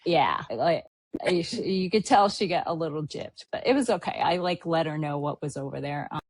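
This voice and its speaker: Podcast host, woman from Hawaii, lowish voice, dry close sound, casual rambling chatter with fillers. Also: expressive, moderate pace, husky, dry recording. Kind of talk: casual travel recap chat.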